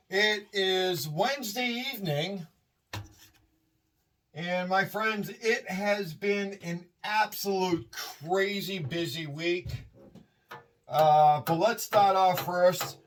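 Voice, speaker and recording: A man's voice: sits high, takes it slowly, pauses often. A middle-aged man with a Massachusetts accent, chatting in a rambling, upbeat way while taking apart scrap in a shed at night. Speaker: scrapping video host. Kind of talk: casual hands-on narration. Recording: distant mic, faint noise.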